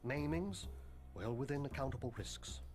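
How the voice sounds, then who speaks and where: A man playing a morbid, pompous, bookish headmaster of an occult academy in a video game cutscene, speaking in ornate, deadpan phrases. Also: mid-range voice, moderate pace, faint music behind, lightly acted. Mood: blithely morbid.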